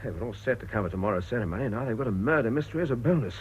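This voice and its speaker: An actor playing a brisk, inquisitive organiser with a British accent and a dramatic, probing manner in a radio drama. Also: male voice, medium pitch, animated, lightly acted. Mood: brisk, curious. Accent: British English. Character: brisk, inquisitive man in charge, urbane organiser. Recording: dull lo-fi radio recording with noise.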